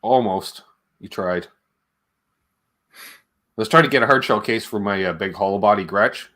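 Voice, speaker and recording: A man's voice: on the low side, normal speed, sharp tone. A man hosting a livestream in a casual, chatty way, wandering between asides and viewer comments. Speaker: livestream show host.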